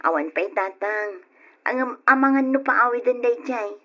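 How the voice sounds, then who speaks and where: A woman's voice: fairly high pitch, expressive, normal speed, bright, forceful tone. A woman with an Ilocano accent playing a cheerful, chatty young working wife in a radio drama. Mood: polite, cheerful. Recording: dull lo-fi old radio recording.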